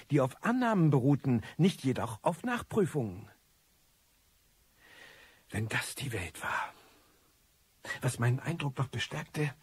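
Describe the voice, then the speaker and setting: An actor playing a disillusioned, bitter loner, narrating a radio drama in a husky, articulate voice. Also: male voice, a little high, darkish tone, exaggerated voice. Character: disillusioned, bitter male loner, obsessive computer eavesdropper.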